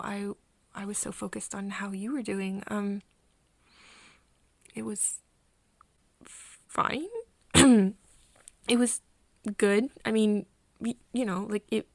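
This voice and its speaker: ASMR roleplay lead: woman playing caring young female friend, breathy, hesitant, unhurried, very close dry sound. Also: mid-range voice, dry recording. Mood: flustered. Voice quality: soft, breathy. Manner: hesitant, stammering, tender. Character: caring, flustered young woman soothing her vampire friend.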